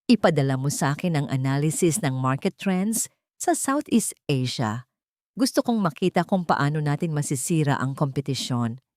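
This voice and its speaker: A woman's voice: deep voice, animated, moderate pace, darkish tone, no room sound, clean studio sound. Woman voicing a cold, determined businesswoman. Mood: cold, resolute. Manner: cool, controlled. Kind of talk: audio storybook drama dialogue.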